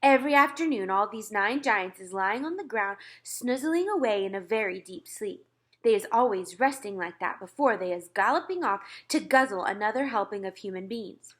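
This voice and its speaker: A woman's voice: mid-range voice, expressive, moderate pace, natural voice. A woman voicing a friendly, patient giant with muddled, folksy grammar and invented words, reading from a children's storybook. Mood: eager. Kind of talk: children's storybook read-aloud.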